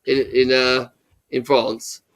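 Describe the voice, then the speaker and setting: A man's voice: medium pitch, flat delivery, takes it slowly, fairly bright tone. A man hosting a casual football chat on a live call, rambling and restarting his sentences.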